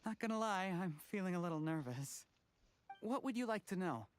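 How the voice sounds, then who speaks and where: A man's voice: high-pitched, expressive, a little fast, clear, resonant tone. An actor playing a polite young male candidate in a game, answering questions in a composed, slightly nervous way. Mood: earnest.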